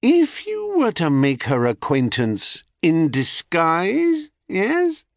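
A man's voice: a little high, huge pitch swings, takes it slowly, no room sound, studio quality, pitched above the performer's own voice, full character voice. A man voicing a mischievous, cynical moon goddess in an audiobook myth, taunting and breezy as she goads. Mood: teasing, scheming.

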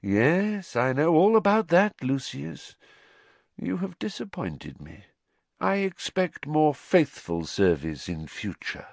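Guy doing a soft creepy dark lord, cool and menacing, swoopy delivery, dry close sound. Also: fairly high pitch, big pitch swings, slow, gravelly, no room sound, pitched above the performer's own voice, exaggerated voice. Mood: cold menace. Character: cold, cruel dark lord, adult male.

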